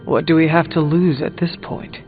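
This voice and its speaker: An actor playing a resolute, guarded warrior in a video game, speaking in a serious, deliberate, blunt way. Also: male voice, a little high, fairly even intonation, soft background music, close to an everyday voice. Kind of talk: scripted video game dialogue. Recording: phone-like game audio, long hall reverb.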